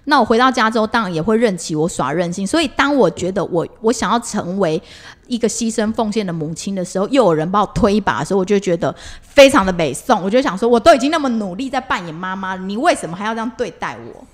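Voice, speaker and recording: A woman's voice: mid-range voice, lively intonation, fast talker. A woman with a Taiwanese Mandarin accent in a casual podcast chat, telling stories expressively as co-host.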